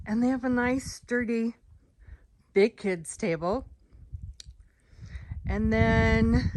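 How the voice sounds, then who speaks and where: A woman hiker talks to her handheld camera out on a mountain trail, chatty and upbeat as she shows the way. Her voice sits a little high, and she takes her time. The tone stays casual and friendly. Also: a little hiss or hum. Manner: chatty, upbeat, narrating a walking tour. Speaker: thru-hiker vlogger. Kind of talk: casual vlog narration.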